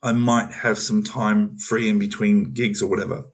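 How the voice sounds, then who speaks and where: A man's voice: lowish voice, little pitch movement, normal speed. An Australian man talking loosely on a video call, explaining an opportunity with many fillers and restarts. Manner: rambling, full of fillers and restarts. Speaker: program manager on a community call. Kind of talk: casual explanatory talk.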